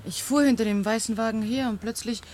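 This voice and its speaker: A woman's voice: on the high side, steady tone, brisk, a little mellow, lightly acted. An actress playing a female eyewitness giving a polite, explanatory account to police in a 1970s radio play. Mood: earnest. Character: female eyewitness reporting a car accident.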